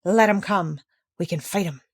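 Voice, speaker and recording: A woman voices a plucky male dog from a children's storybook, eager and defiant. He sounds gruff and ready to pick a fight with anyone. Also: lowish voice, normal speed, no echo, studio quality. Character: brave, scrappy male dog.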